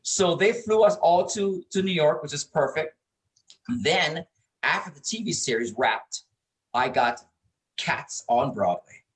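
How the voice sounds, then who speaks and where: A middle-aged or older man from Hawaii telling stories as an interview guest, expressive and given to repeating words for emphasis. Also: on the high side, unhurried, poor audio. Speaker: interview guest, performer and producer. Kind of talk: casual interview storytelling.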